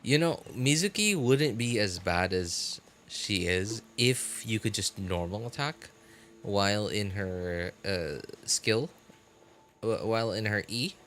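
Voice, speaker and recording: Low-voiced man chatting on a game stream. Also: animated, slow pace, fairly bright tone, a little hiss or hum. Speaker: game livestreamer. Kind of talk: casual chat with live stream viewers while gaming.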